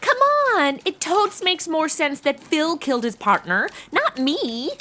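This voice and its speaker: A woman's voice: sits high, sing-song, a little slow, bright, forceful tone, heavily acted. A young woman playing a flippant, indignant, slangy modern detective's assistant in a comedy audio drama. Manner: indignant, flippant, slangy valley-girl delivery.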